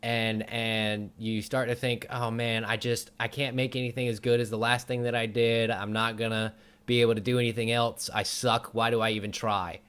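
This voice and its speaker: A man's voice: medium pitch, flat delivery, moderate pace, a bit bright, clear, resonant tone. A man hosting a casual conversational interview, talking in an encouraging, reassuring and jokey manner. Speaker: interview show host.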